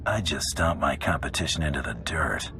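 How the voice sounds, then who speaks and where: An actor playing a cocky, ruthless male bounty hunter in a game cutscene, speaking low and half-whispered. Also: lively intonation, moderate pace, faint music behind, full character voice. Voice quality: gravelly, low and hushed.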